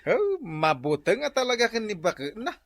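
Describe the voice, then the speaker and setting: Amiable drinking buddy, high male voice, lively swings, teasing, radio comedy drama. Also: moderate pace, no room sound, heavily acted.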